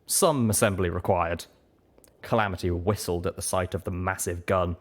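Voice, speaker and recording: A man reading a story aloud as expressive, scripted first-person narration. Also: low voice, normal speed. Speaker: audiobook reader.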